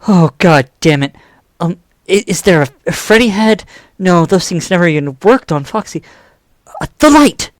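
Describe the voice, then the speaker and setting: A man's voice: high voice, animated, normal speed, dry recording, clean studio sound. An actor playing a jittery male warehouse night guard in an audio drama. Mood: panicked.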